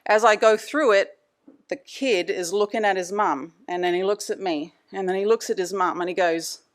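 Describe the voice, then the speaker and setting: A woman's voice: medium pitch, normal speed. A middle-aged woman from Australia with an Australian accent, giving a chatty presentation lecture and laughing between points. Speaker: anesthesia department leader giving a talk. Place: conference room.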